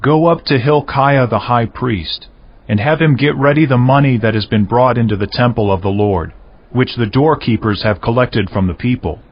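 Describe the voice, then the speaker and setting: The sound is slightly dull and lo-fi. An actor plays an ancient king of mid-range voice, issuing orders with earnest, deliberate authority, as in a dramatized scripture reading. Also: mid-range voice, close to an everyday voice. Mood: commanding.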